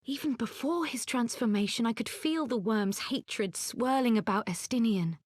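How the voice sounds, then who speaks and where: An actress playing a grave, sorrowful woman in a fantasy video game, with a breathy, half-whispered, weighty delivery. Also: a little high, moderate pace, no room sound, studio quality, lightly acted. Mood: sorrowful, haunted. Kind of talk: dramatic video game cutscene acting.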